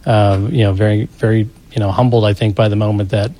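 Male federal agent interviewee, low mellow voice, quick phrases with hesitations, plainspoken. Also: fairly even intonation. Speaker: FBI special agent being interviewed. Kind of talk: interview recollection.

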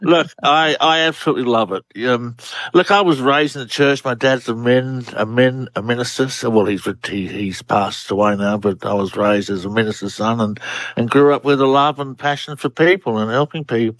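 A man's voice: medium pitch, steady tone, normal speed, a bit bright, no room sound. A man in his seventies with an Australian accent, giving a casual radio interview as a researcher, enthusiastic and often restarting his sentences. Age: 70s.

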